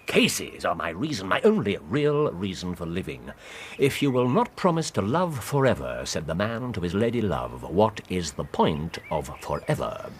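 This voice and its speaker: A bored, brilliant English detective in a radio play. His voice is deep and theatrical, swinging from languid drawl to cutting sarcasm. There is faint noise behind him. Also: sing-song, normal speed. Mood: sardonic, restless. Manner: languid, theatrical, precise formal diction. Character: bored, brooding male detective with sardonic wit. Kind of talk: radio drama acting.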